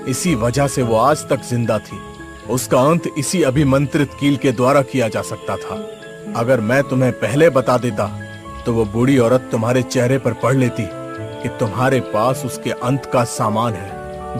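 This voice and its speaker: A man voicing a wise, mysterious holy sage who instructs solemnly in an animated story. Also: mid-range voice, natural voice. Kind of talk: animated story character acting.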